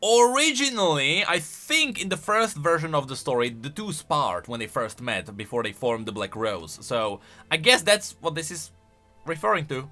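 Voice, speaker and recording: Casting: male game-lore host, sharp forceful higher voice, swinging intonation, excitable off-the-cuff reactions. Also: moderate pace, faint music behind. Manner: excitable, emphatic.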